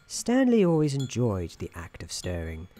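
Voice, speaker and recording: Casting: man as droll inner-voice narrator for ASMR game roleplay; soft-spoken, mellow, lilting, measured, softly condescending. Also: mid-range voice, wildly swooping pitch, faint music behind. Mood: soothing, droll. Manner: measured, whimsical, gently patronising. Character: droll, soothing male storybook narrator in an office worker's head.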